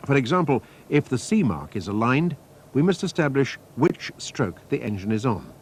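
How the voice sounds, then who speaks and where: A man reading a scripted technical training film narration in a measured, precise, instructional manner. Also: mid-range voice, sing-song. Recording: noisy archive training-film soundtrack.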